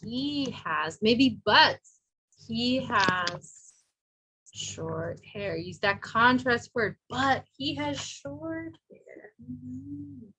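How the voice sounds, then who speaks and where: A woman's voice: fairly high pitch, animated, extremely slow, pushed and bright, breathy edge, rough recording. A woman teaching an adult English class, patient and encouraging, who models her words carefully for her learners.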